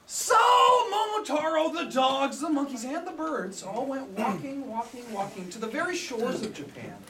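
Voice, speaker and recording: A woman tells a children's story live with big swoops in her voice and theatrical, drawn-out phrasing. She is heard from a distance in an echoey room, performing for a young audience. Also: high-pitched, very animated. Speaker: storyteller for a children's puppet show. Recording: echoey room, far from mic, audience noise. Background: audience of a live show.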